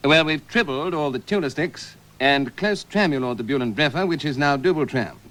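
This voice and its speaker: A man playing a self-assured official, deadpan and formal, in a spoof radio broadcast. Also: on the high side, normal speed, close to an everyday voice. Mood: earnest, deadpan. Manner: deadpan, formal, matter-of-fact. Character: self-assured male official talking solemn technical gibberish.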